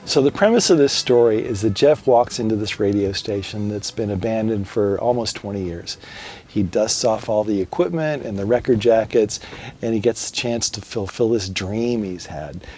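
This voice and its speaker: Lowish-voiced man narrating over music. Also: normal speed, a little mellow. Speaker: promotional presenter. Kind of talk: scripted promotional narration. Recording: music bed under voice.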